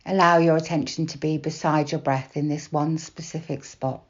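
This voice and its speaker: A woman guiding a meditation in a slow, soft, unhurried manner with long pauses between phrases. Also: deep voice, steady tone, dull audio. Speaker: meditation guide. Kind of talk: guided body scan meditation, gentle instruction.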